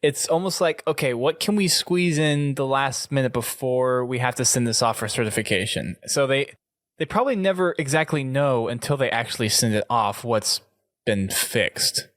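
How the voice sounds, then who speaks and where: A man hosts a relaxed-format gaming podcast in casual conversation. His voice sits at a middling pitch, recorded very dry with hardly any room sound. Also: moderate pace, no room sound. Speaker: podcast host.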